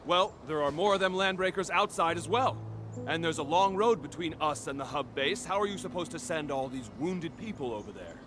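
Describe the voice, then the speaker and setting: A man's voice: fairly high pitch, expressive, fairly quick, background noise, close to an everyday voice. A man voice-acting a skeptical, grumbling, pragmatic survivor in a video game, with music behind him.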